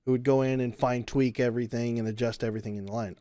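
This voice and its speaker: A man's voice: medium pitch, fairly even intonation, fast talker, mellow, smooth, dry recording. A man co-hosting a podcast in casual chat, enthusiastic and explaining at length. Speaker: podcast co-host.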